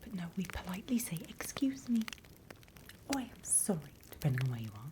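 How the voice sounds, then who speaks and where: A British woman whispering in an ASMR roleplay as a stern, dry demon instructor, breathy and close to the microphone. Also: fairly low pitch, big pitch swings. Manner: slow, deliberate, dry instructing. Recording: close whisper with background hiss.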